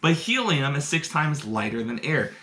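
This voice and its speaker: A man's voice: a little high, sing-song, normal speed, bright, forceful tone, reverberant. A man teaching science through a live demonstration, upbeat and explaining each step for kids indoors. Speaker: science educator. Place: indoor room.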